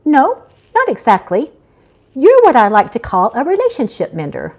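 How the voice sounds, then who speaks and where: A woman's voice: high voice, wildly swooping pitch, moderate pace, darkish tone, voice pushed up, heavily acted. A woman reading a story, voicing a prim, impatient older female angel mentor who instructs snippily. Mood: brisk, firm. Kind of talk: live audiobook-style reading.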